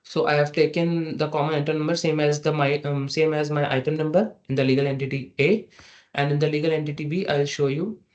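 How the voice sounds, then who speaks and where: Guy doing a software walkthrough on an online meeting, bit high pitched, halting, kinda lo-fi sound. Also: flat delivery. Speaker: software trainer. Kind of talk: screen-share software tutorial. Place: online meeting with screen share. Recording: dull, lo-fi online meeting audio.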